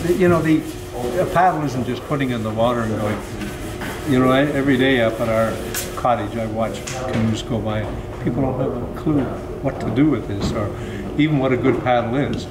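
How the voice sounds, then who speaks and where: A man in his sixties, a workshop student, giving casual, opinionated talk. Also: mid-range voice. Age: 60s. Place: dim wooden workshop indoors. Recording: echoey, very noisy workshop.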